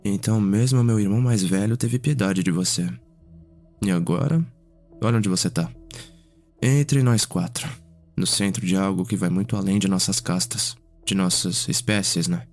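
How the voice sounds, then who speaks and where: A man playing a curious, reflective vampire noble in an ASMR roleplay, telling his story in an unhurried way with pauses. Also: low voice, a little mellow. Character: Cedric, curious, reflective male vampire noble, younger brother. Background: music.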